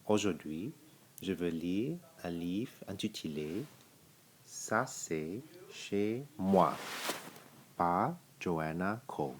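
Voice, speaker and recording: Deep, slow-paced man reading a storybook. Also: lively intonation, a little hiss or hum. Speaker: storybook reader. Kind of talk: children's picture-book read-aloud.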